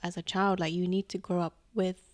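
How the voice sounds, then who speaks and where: A woman's voice: lowish voice, little pitch movement, a little fast, no room sound. A young woman co-hosting a podcast, telling stories in a reflective, easygoing way. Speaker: podcast co-host. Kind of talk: casual chat.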